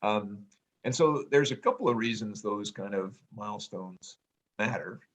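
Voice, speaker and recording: A middle-aged man musing in a casual family podcast chat, pausing often with ums and restarts and talking in a loud voice. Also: on the low side. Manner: hesitant, many ums and restarts, reflective; says he has a loud voice. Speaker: podcast co-host, parent.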